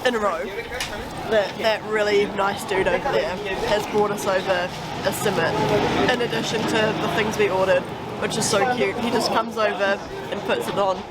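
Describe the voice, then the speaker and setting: A woman's voice: medium pitch, steady tone, moderate pace, a loud crowd. Upbeat young New woman on a noisy street. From New Zealand. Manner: upbeat, chatty, with "like" and "um" fillers. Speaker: travel vlogger. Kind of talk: casual vlog narration. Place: outdoors in a city. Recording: street noise outdoors.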